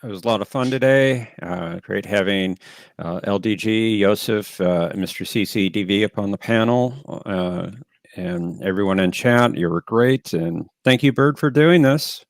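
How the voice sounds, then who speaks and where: A man with a fairly low voice teases the host playfully on a livestream panel. The sound is dry and close to the mic. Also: unhurried, no echo. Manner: teasing, playful. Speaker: panel guest. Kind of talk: casual chat.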